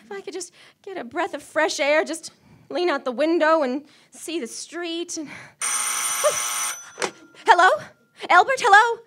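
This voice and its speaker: A woman's voice: very high voice, animated, unhurried, pauses often, a bit bright, heavily acted. A woman acting a fretful, demanding, self-pitying bedridden wife who stammers nervously, in live radio-thriller theater. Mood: frantic, fretful.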